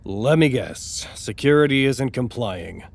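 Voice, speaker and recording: A man's voice: medium pitch, animated, a little slow, vocal fry. An actor playing a dry, wary male facility officer in understated, terse audio drama dialogue.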